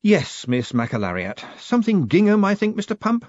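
A man voicing a flustered, nervous young postmaster who talks meekly with a jumpy, raised pitch. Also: very animated, moderate pace, darkish tone, dry recording, highs cut off. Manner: flustered, meek, pitch jumping high.

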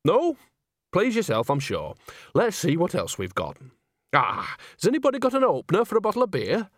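A man's voice: high-pitched, wildly swooping pitch, normal speed, no room sound, clean studio sound, voice pushed up, exaggerated voice. Nanny Ogg, a chatty rustic old woman, put on by a man. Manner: homely and rustic. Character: cheerful earthy old countrywoman, chatty and blunt.

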